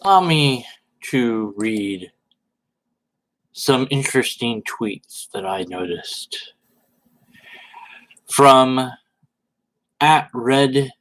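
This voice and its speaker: A man reading social media posts aloud in a dramatic, sarcastic way, with mocking commentary and hesitant pauses. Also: mid-range voice, fairly bright tone, rough recording.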